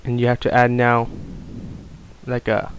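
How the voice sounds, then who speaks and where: A man giving a casual, unscripted software tutorial, explaining things informally step by step. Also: medium pitch, monotone, unhurried, clear, resonant tone, low-quality recording. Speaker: tutorial presenter.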